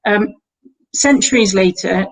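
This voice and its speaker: A woman's voice: mid-range voice, measured pace, poor audio. A woman, not Welsh-accented, presenting report findings online and talking with many fillers and restarts. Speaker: guest presenter.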